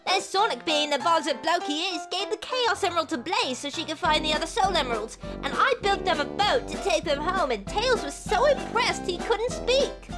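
Squeaky, boastful young raccoon sailor. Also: female voice, lively intonation, normal speed, few pauses, music in the background. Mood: bragging. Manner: brash, bragging, slangy. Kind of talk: scripted comic dub acting.

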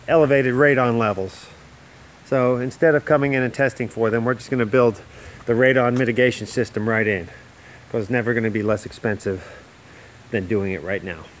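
Middle-aged male builder, mid-pitched, easygoing explainer, phone-recorded outdoors with faint background hiss. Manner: plain-spoken, explanatory, with frequent fillers and restarts. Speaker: home builder. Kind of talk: casual site walkthrough. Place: outdoor construction site. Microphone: handheld phone camera.

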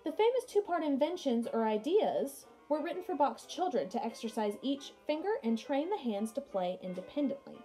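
Higher-pitched young woman reading to pupils. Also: very animated, moderate pace, few pauses, warm tone, soft background music. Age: young adult. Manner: explanatory, chatty, addressing pupils directly. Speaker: music teacher. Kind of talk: children's read-aloud lesson with casual asides. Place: small indoor room at home.

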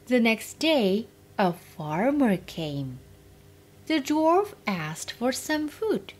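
A woman narrating a children's fairytale as storyteller, expressive and deliberate, with faint noise. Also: fairly high pitch.

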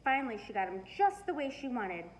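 Female storyteller, highish voice, expressive picture-book read, noisy living room recording. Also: a little fast. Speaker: teacher reading aloud. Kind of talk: children's storybook read-aloud.

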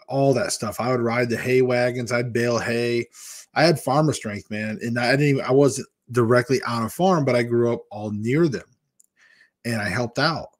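Rambling candid man in his fifties. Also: medium pitch. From Michigan, USA. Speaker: weight-loss vlogger hosting a live chat. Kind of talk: casual livestream chat with viewers. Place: small room at home, indoors. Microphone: large desk microphone in front of a webcam.

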